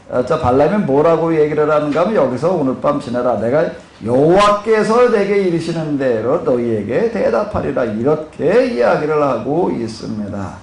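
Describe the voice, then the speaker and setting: A man's voice: fairly high pitch, darkish tone. A male pastor with a Jeolla accent lecturing at a Bible seminar, rambling with many fillers and putting questions to his listeners. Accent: Korean Jeolla dialect. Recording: echoey room with faint noise.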